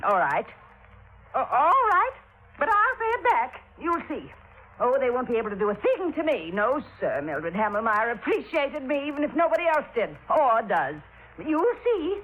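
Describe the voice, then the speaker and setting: An actress playing an indignant, scheming older housekeeper, sharp-tongued and easily flustered, in 1950s radio style. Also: female voice, sits high, big pitch swings, moderate pace, lo-fi sound, exaggerated voice. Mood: defiant. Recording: vintage 1950s radio, band-limited with hiss.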